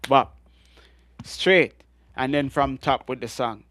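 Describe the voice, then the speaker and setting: A middle-aged Jamaican man with a deep voice, telling animated stories as a guest in an interview. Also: slow pace, no room sound. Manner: animated storytelling with frequent laughs and restarts. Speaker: guest reggae artist. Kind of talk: storytelling interview.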